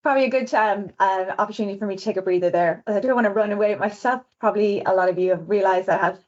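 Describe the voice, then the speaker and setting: A woman with an Irish accent, a visual designer presenting survey results on a webinar in a quick, chatty way. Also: medium pitch. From Ireland. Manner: fast-talking, chatty, self-aware. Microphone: video call. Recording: dull lo-fi webinar audio.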